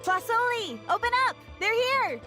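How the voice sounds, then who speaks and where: A woman voicing an energetic young woman who guides others and calls out urgently. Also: very high-pitched, normal speed. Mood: eager. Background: game music.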